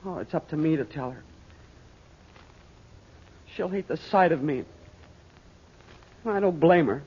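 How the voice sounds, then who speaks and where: A muffled old radio serial with faint noise features a boyish, higher male voice. an anxious, eager lad, earnest and excitable, with lively swings in pitch. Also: a little slow. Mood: distraught, guilty. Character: eager, anxious boy searching for his lost father. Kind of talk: 1940s radio adventure acting.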